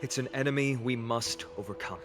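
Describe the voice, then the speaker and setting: An actor playing an earnest young hero and sworn protector in a video game, speaking firmly and sincerely. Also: male voice, mid-range voice, fairly quick, lightly acted. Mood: determined. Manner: firm, sincere. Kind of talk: dramatic video game voice acting. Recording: music behind the voice.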